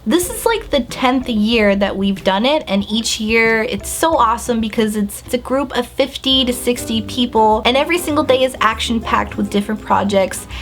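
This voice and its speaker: A young woman vlogger telling a story to the camera in a bubbly, animated way, filler-heavy and acting out her own reactions. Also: a little high, normal speed, hardly any pauses, faint music behind. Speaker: beauty and lifestyle vlogger. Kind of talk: casual storytime vlog.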